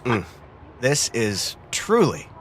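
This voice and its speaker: A man's voice: medium pitch, very animated, takes it slowly, fairly bright tone, a little hiss or hum. An actor playing an easygoing, bashful man in a romantic comedy audio drama, talking in a hesitant, wry, self-deprecating way. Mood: wry, flustered.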